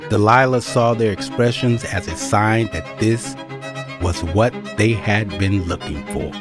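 A man narrates a dramatic story in a fairly low voice. His scripted storytelling plays over clearly heard violin and string music. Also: a little slow.

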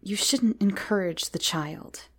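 A woman's voice: mid-range voice, slow pace, fairly bright tone. Woman as an exasperated, scolding young mother. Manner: scolding, exasperated, breathy asides. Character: vain, impatient young mother, easily cross and scolding.

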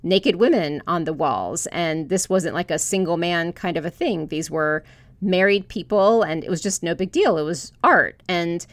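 Woman, podcast host, from Florida, lowish voice, reflective, dry close sound. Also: animated, moderate pace, no echo. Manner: earnest, reflective storytelling. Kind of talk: podcast interview.

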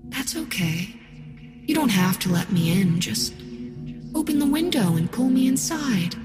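A man's voice: very high-pitched, big pitch swings, a little slow, pitched above the performer's own voice, full character voice. A man voicing a sinister living girl doll with a small, sweet voice and a whining, coaxing manner. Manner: whiny, pleading. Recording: long hall reverb, loud music behind.

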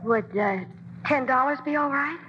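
A woman's voice: sits high, normal speed, slight background noise, close to an everyday voice. An actress playing a timid young woman hoping to dance in the chorus, in a 1940s radio drama on a dull old broadcast. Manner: timid, hesitant. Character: shy young girl, a hopeful chorus dancer.

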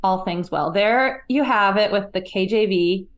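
A woman's voice: medium pitch, unhurried, dull audio, a little hiss or hum. Thoughtful woman explaining in casual chat. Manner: thoughtful, explanatory, frequent "like" fillers. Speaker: podcast guest. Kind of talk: casual conversational interview.

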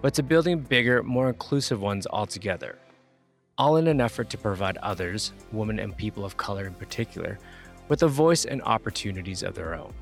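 A man's voice: lowish voice, expressive, brisk, creaky, faint music behind. A man hosting a podcast interview from Toronto. He reads a scripted introduction, then asks casual questions full of fillers. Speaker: podcast host. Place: Toronto, remote call.

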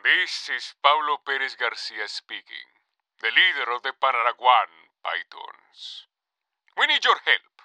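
Grandiose revolutionary leader, super bright punchy voice, dry audio drama recording. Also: male voice, mid-range voice, big pitch swings, a little slow, smooth, no echo, full character voice. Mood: rousing. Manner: grandiose, declamatory. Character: fiery male revolutionary guerrilla leader rallying supporters. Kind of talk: theatrical appeal for donations.

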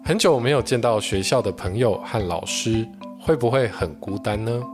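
A Taiwanese-accented Mandarin woman with a strikingly low voice hosts a show for children. She puts things in simple, friendly, gentle phrases. Music plays clearly behind her. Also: lively intonation, normal speed. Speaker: children's story podcast host. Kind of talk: friendly interviewing and hosting for children.

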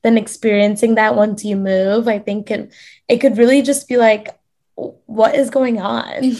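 A young woman hosting a podcast, talking in a relaxed, reflective way with frequent "like". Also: on the high side, a little slow. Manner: relaxed, reflective, uses "like" often. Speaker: podcast host. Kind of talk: casual conversation.

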